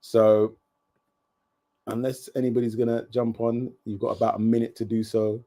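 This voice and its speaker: A man's voice: medium pitch, level tone, normal speed, mellow. A man hosting a casual live stream and reading viewer comments aloud. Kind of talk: casual chat reviewing a reality show.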